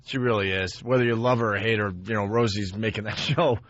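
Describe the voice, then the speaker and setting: A man's voice: on the low side, a little fast, a bit bright, no room sound. A man hosting a radio show in loose, casual banter. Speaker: radio host. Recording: dull lo-fi radio recording.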